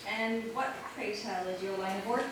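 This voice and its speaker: A woman's voice: medium pitch, normal speed, lightly acted. A woman playing an elegant, haughty society lady in a staged comedy reading, arch, formal and condescending. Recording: very echoey, distant mic, noisy background.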